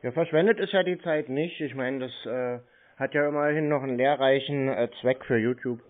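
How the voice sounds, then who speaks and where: A man's voice: medium pitch, normal speed. A man in a phone conversation, answering in a terse, unimpressed and skeptical way. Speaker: call recipient questioning telemarketers. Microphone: telephone call. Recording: narrow, tinny phone line.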